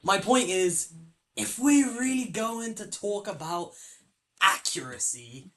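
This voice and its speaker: Animated young man hosting a chat. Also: high voice, slow. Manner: hesitant, many restarts and fillers, animated swings in loudness. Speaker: podcast host. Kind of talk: casual film discussion.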